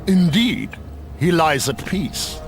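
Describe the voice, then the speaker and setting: Theatrical, high-voiced sly old necromancer. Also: male voice, lively intonation, takes it slowly, gravelly, over music, exaggerated voice. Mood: persuasive, smooth. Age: older. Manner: theatrical, drawling, mock-sweet. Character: sly old male necromancer, mockingly courteous, gleefully macabre. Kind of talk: video game cutscene acting.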